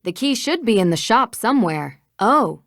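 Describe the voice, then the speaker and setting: A woman's voice: fairly high pitch, very animated, moderate pace, a bit bright, no echo, studio quality. A woman playing a cheerful young female detective in a video game, eager and inquisitive. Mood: curious, focused.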